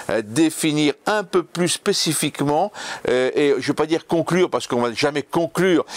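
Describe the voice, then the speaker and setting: A man speaking as a local elected official in a testimonial interview, with formal, emphatic phrasing. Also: fairly high pitch.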